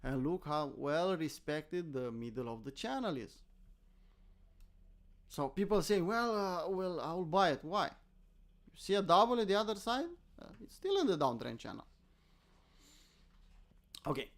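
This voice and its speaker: Gravelly higher-voiced Romanian man explaining charts. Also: big pitch swings, unhurried, pauses often. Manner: informal, self-deprecating, asks and answers his own questions. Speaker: host, crypto trader. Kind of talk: live chart commentary, casual teaching.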